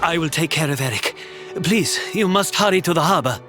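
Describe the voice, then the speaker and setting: An actor playing a flamboyant, loyal and emotional adventurer in a fantasy video game, with a theatrical, dramatic manner. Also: male voice, fairly high pitch, expressive, fairly quick, music in the background, lightly acted. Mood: urgent, caring.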